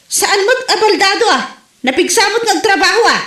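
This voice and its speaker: A woman's voice: very high-pitched, animated, fairly quick, bright, forceful tone, exaggerated voice. A woman playing a demanding, possessive young mistress in an Ilocano comedy audio drama. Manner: petulant, possessive. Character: demanding, spiteful younger mistress of a married man. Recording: roomy, music underneath.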